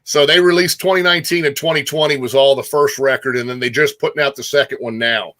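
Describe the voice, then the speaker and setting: A man's voice: mid-range voice, steady tone, fast, pushed and bright. A man hosting a music show in a casual, informal chat, repeating phrases for emphasis.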